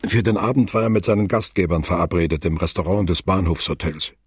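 A man narrating a literary radio play as a sober, observant storyteller with a detached, deliberate delivery. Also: sits low, fairly even intonation, breathy edge, no echo, studio quality.

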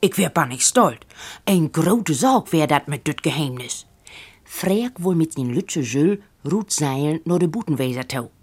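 Husky Northern German woman storyteller. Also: low voice, expressive, measured pace. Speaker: actress reading a story. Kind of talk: expressive first-person story reading.

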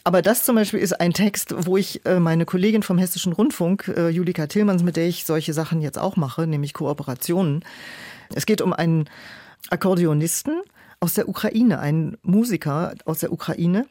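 A woman's voice: lowish voice, normal speed, mellow, no room sound. Woman explaining thoughtfully, close dry sound. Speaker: guest, audiobook producer. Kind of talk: interview conversation.

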